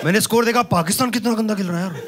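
A man in his thirties performing an animated stand-up comedy set on a club stage with a handheld mic, teasing the audience. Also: high voice, racing. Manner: animated, gestures a lot, teases the audience. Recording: loud crowd laughter and noise. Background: audience laughter.

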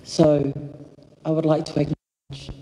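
A woman from Samoa giving a slow, heartfelt acceptance speech at a lectern microphone on a hall stage. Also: deep voice, flat delivery, mellow, a little hiss or hum. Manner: slow, deliberate, heartfelt with long pauses. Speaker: award recipient. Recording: echoey hall, filmed from the audience.

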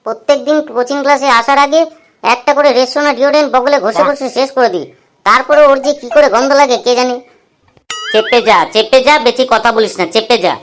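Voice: sits high, brisk, fairly bright tone, a little hiss or hum. A performer voicing cheeky, playful schoolboys in a comedy cartoon. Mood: chatty.

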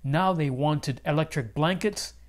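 A man reading a children's picture book aloud as a teacher would, with deliberate, expressive delivery. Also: fairly high pitch. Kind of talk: scripted storybook narration for children.

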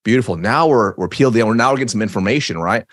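A man's voice: on the low side, rapid-fire, dry recording. A man in his thirties who stutters at times, teaching sales with energy and emphasis on a video call from a home office, into a studio mic. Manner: energetic, emphatic, gestures while talking. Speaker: sales trainer. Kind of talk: webinar teaching. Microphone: studio mic on boom arm, video call.

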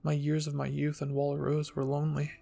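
A man narrating a first-person story in a scripted ASMR reading style, with a husky, gravelly voice. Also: a little high, monotone, fairly quick, dark tone. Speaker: fan fiction reader and narrator.

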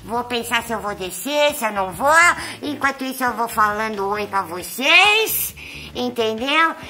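A woman's voice: on the high side, animated, a little slow, sharp tone, low-quality recording. A woman with a Brazilian accent voicing a chatty, nervous, affectionate young male house cat in a pet vlog. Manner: sweet, coy, chatty to viewers.

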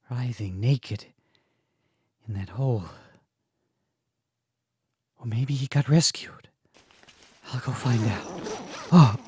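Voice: mid-range voice, darkish tone, husky, no room sound, studio quality, full character voice. A performer voicing an anxious, earnest young man who narrates and acts in a horror manga dub. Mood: shaken, subdued.

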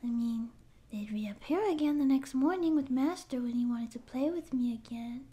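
A woman's voice: high-pitched, moderate pace, dark tone, natural voice. A woman voicing a timid but eager young catgirl who wants to be a space pirate, girlish and sometimes whispery, with nervous bluster that slips into meek rambling, in an audio roleplay. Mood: anxious, lonely. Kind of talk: scripted ASMR audio roleplay.

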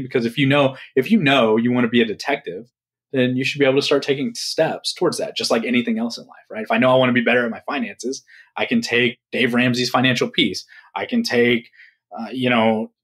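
A man answering interview questions in a relaxed, conversational way, often checking in with "right?". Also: medium pitch, slightly rough. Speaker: podcast guest.

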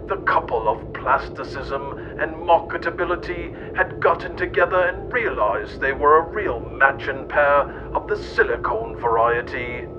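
A man's voice: unhurried, few pauses. A man reading a scripted mock-history tale in a theatrical, mock-serious manner. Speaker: narrator and host. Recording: dull, noisy, rumbly old-radio sound.

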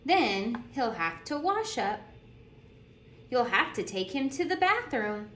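Female read-aloud teacher for picture books, very animated intonation, addresses young listeners, noisy room recording. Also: fairly high pitch. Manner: deliberate storytelling with questions to young listeners. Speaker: teacher reading aloud to children. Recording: roomy echo with clear background noise.

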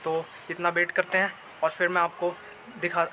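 Young man from Bihar, high flat voice, casual phone vlog over loud street traffic. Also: normal speed, rough recording. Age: young adult. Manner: chatty, repeats himself, mixes in English words. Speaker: travel vlogger. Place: outdoors in the city, then inside a moving car. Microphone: phone held at arm's length. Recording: city traffic noise behind.

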